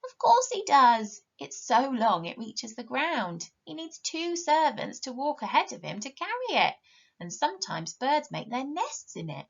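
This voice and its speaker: A woman's voice: high voice, wildly swooping pitch, unhurried, gravelly, lo-fi sound, exaggerated voice. A woman voicing a boastful, bossy young princess from a storybook, breezy, haughty and emphatic. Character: boastful, bossy young princess girl telling tall tales.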